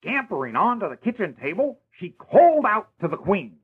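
A man's voice: high-pitched, very animated, moderate pace, breathy edge, heavily acted. A man playing a kindly older godfather who narrates a fairy tale in a theatrical, playful way. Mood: warm. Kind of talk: storybook narration. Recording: tinny old lo-fi recording.

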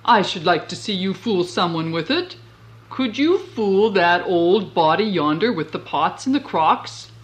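A woman voicing a greedy, pompous old king who barks orders in a loud, haughty voice. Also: on the low side, expressive, unhurried. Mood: scheming.

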